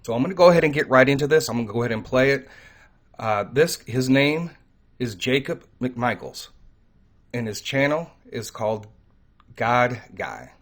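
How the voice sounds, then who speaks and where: A man's voice: medium pitch, animated. Male host, mid-range voice, casual preaching monologue, earnest and rambling. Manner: earnest, rambling, pauses to stress key words. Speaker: host of a faith and crypto channel.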